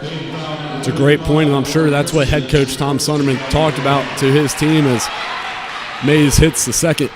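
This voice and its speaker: A man's voice: on the high side, flat delivery, normal speed. A man doing live play-by-play commentary of a basketball game from inside a school gymnasium. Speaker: play-by-play announcer. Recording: loud gym crowd and court noise. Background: crowd, bouncing basketball.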